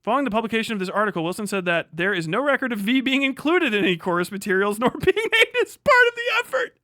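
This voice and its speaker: A man's voice: high-pitched, sing-song, fast talker, sharp tone, dry recording, studio quality. A man hosting a livestream, reading aloud and riffing casually in a sarcastic, mocking way with plenty of swearing. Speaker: livestream host reacting to an article.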